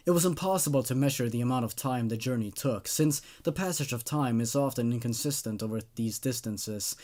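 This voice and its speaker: A man performs a mournful, otherworldly captive telling a horror tale of devotion and regret. The delivery is solemn and deliberate, in a middle register, sinking to a hush as phrases end. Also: medium pitch, lightly acted. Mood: sorrowful, tender. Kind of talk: dramatic horror story narration.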